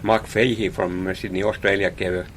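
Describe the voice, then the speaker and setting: A man's voice: deep voice, level tone, moderate pace, a little hiss or hum. A man from Finland, a guest in a radio interview, talking about his hobby with many small hesitations and repeated words.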